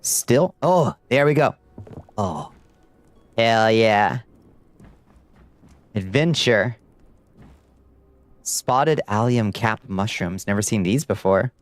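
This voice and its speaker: A man's voice: lowish voice, expressive, takes it slowly, long pauses, no room sound. A middle-aged man with a light Georgia twang, chatting casually and wryly with his viewers while he plays a game. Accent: light Southern US twang, Georgia. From United States. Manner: laid-back drawl, wry, talks to chat while playing. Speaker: streamer. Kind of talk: casual live gameplay chat.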